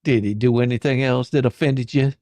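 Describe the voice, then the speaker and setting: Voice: mid-range voice, steady tone, brisk, dark tone, no room sound, close to an everyday voice. A performer playing a pushy, exasperated attorney in a dramatic reading of a deposition, badgering, sarcastic and coarse. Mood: probing. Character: pushy, folksy, exasperated attorney questioning a witness.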